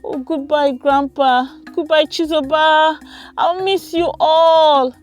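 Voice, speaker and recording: Woman playing a spirited high-voiced teenage girl. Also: steady tone, slow, soft background music. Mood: wistful. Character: spirited fifteen-year-old Nigerian girl, playful.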